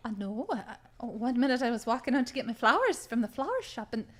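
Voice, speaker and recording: A woman acts out a dazed, sweet-natured wife in an improvised radio drama. Her voice is high and husky with lively swings, and she talks haltingly, full of fond bewilderment. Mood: bewildered, fond. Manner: halting, bewildered, fond, calls partner love. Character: dazed, sweet, confused wife freed from a spell. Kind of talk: improvised audio drama acting.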